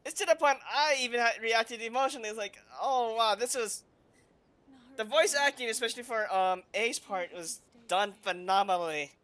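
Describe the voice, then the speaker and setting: Bright excitable gameplay commentator. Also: medium pitch, normal speed. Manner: excitable, rambling, gushing. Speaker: game streamer. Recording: faint game music behind.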